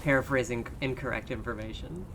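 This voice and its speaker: A young woman chatting about a game with a friend, dry and joking, quick to laugh. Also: a bass voice, slight background noise. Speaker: streamer. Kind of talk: casual game chat.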